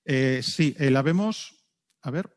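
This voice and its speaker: A man's voice: medium pitch, slow pace. A man with a Spanish accent from Spain, courteously moderating a live online event and talking informally with presenters. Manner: courteous, checking technical details. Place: video call.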